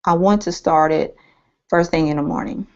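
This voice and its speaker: Woman trainer, low-ish voice, going hoarse, rambling screen-share delivery, dull lo-fi computer-mic sound. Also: steady tone, moderate pace. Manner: rambling, thinking aloud with many ums. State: losing her voice, a bit hoarse. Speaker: online marketing trainer. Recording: dull lo-fi screen-capture audio.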